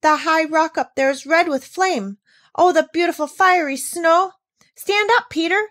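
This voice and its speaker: A woman voicing an eager, warm-hearted little girl with bright, breathless exclaiming. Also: very high voice, a little slow, no echo, studio quality, pitched above the performer's own voice. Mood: awestruck.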